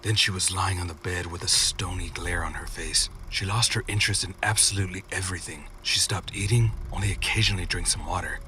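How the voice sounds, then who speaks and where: A man's voice: sits low, a little fast, hardly any pauses, sharp tone, distant mic, a little hiss or hum, low-quality recording. A man narrating a scripted first-person scary story as a horror storyteller.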